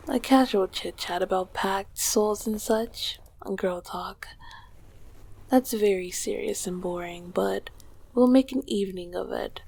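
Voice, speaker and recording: A woman voicing an ancient succubus queen in an intimate ASMR roleplay, tender, regal and teasing, with a breathy, husky, often whispering voice. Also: mid-range voice, exaggerated voice. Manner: slow, affectionate, softly teasing.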